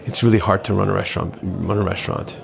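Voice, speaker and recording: Halting, reflective middle-aged man. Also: deep voice, fairly even intonation, gravelly. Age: 40s. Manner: halting, repeats words, philosophical. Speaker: restaurateur guest. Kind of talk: reflective interview talk.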